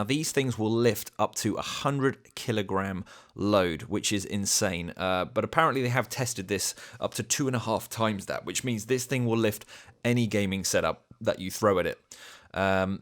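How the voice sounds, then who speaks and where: A man with a cold, his voice a bit thicker than usual, hosting an easygoing product review at home and explaining the features step by step. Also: fairly low pitch. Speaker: channel host reviewing a product. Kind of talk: casual product review to camera. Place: home dining room.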